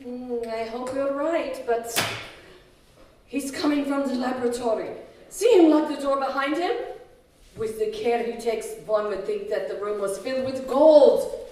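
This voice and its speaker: An actress playing an anxious, easily scandalised housekeeper in an old-time radio horror drama, with a dramatic, worried delivery. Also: female voice, sits high, measured pace, mellow. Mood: worried, horrified. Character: anxious, fretful housekeeper Madame Maurice, scandalised. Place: live stage show in a community hall. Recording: echoey community hall, far from mic.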